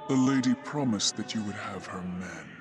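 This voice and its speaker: A man's voice: fairly low pitch, normal speed, music in the background, lightly acted. An actor playing a fanatical cult herald in a fantasy game, preaching devotion to his lady in grand, zealous oratory. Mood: stern, devout. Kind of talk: dramatic fantasy game voice acting.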